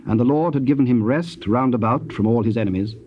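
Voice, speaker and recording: A man narrating dramatized scripture in a measured, formal storytelling manner. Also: mid-range voice. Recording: dull tape with faint noise.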